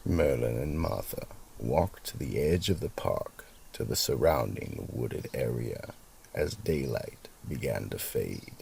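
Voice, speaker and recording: A man hosting and narrating scripted horror stories in a theatrical, ominous manner, with a very deep, gravelly, husky voice. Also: expressive, darkish tone, slight background noise. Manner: slow, ominous, dramatic. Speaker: narrator and host.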